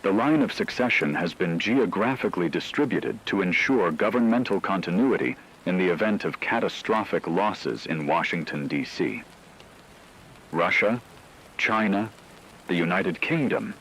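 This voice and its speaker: A man playing a calm, grave radio news anchor, reading a scripted report in a measured, formal, factual way. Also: low-pitched, slight background noise, heavily acted, rough recording.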